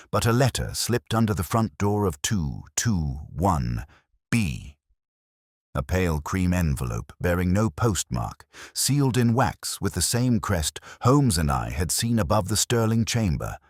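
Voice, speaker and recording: A man with an extremely deep voice narrates a literary mystery. His reading is solemn and dramatic, with wide swings of intonation and a dry, close sound. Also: no echo. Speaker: audiobook narrator. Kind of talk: scripted first-person mystery narration.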